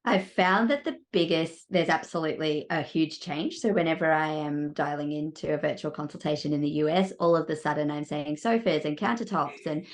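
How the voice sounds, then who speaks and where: A woman's voice: deep voice, brisk, few pauses. An Australian woman, an interior designer and mentor, chatting in a casual podcast interview and rambling along with plenty of "you know"s.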